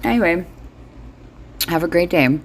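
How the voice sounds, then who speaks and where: A woman's voice: on the low side, expressive, a little slow, slight background noise. A middle-aged woman chatting casually in a comedic monologue, blunt, wry and sweary, as the host of her own show. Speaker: solo host.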